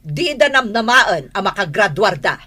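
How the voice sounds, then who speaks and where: A woman playing a strict, scolding high school teacher in a radio drama, husky and emphatic as she lectures. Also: very animated, normal speed, sharp tone, exaggerated voice. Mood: stern scolding.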